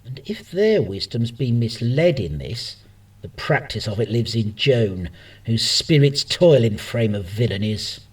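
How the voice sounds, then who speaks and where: A man playing an ardent, witty soldier in love, earnest and husky, in a Shakespearean radio play. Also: medium pitch, very animated, a little slow. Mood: urgent concern. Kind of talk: Shakespearean radio drama acting.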